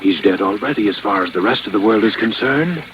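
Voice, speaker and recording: A man's voice: fairly low pitch, animated, lo-fi sound, a little hiss or hum, lightly acted. An actor playing a desperate, cold murderer scheming his perfect crime in a brooding, theatrical old radio drama monologue. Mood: frantic, murderous. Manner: theatrical, brooding, halting self-talk. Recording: band-limited old radio recording with music behind.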